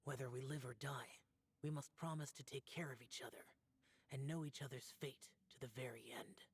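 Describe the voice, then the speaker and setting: A man's voice: mid-range voice, fairly even intonation, moderate pace, husky. A man voicing a solemn, aloof young immortal demon-slayer in a fantasy game, speaking in a grave, guarded, restrained way. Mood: somber, brooding. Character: solemn, aloof young male immortal demon-slaying warrior burdened by karma. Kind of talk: scripted fantasy game acting. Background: background music.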